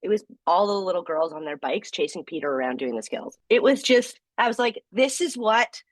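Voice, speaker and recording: A woman co-hosts a podcast with a voice made deeper and gravelly by a cold. She tells stories with lively energy and laughs easily. The sound is dry and close. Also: a little fast, no room sound, poor audio. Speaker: podcast co-host. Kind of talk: casual podcast chat.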